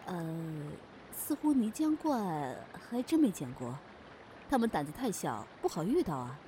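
A woman's voice: fairly low pitch, big pitch swings, unhurried, dark tone. A woman playing a confident young innkeeper in a fantasy audio drama, commanding and teasing. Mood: playful. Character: confident young female demon-inn boss, brisk and playful. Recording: noisy background ambience.

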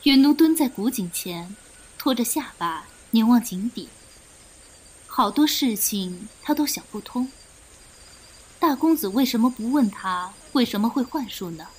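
A woman's voice: on the high side, lively intonation, unhurried, breathy edge, poor audio. A woman reading scripted literary narration as the storyteller of an audio drama. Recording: loud music behind voice.

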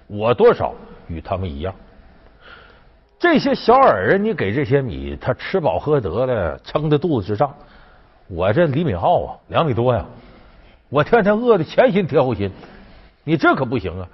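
A wily ancient court official, played by a male storyteller, coaxing and griping in colloquial style. The voice is husky and swings widely in pitch, on a slightly dull recording. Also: huge pitch swings, moderate pace. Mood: aggrieved pleading. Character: wily male Han court official, bluffing, aggrieved schemer.

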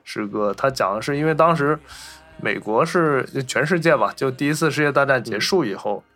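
A man's voice: medium pitch, expressive, moderate pace, fairly bright tone. A man hosting a podcast, casually telling film anecdotes and acting out the remarks he reports. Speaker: podcast host.

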